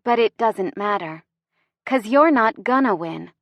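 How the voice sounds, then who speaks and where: A woman's voice: medium pitch, expressive, a little slow, no echo, muffled recording. A woman voicing a sassy, easily flustered young heroine with bantering, defiant delivery in a romance audiobook. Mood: indignant.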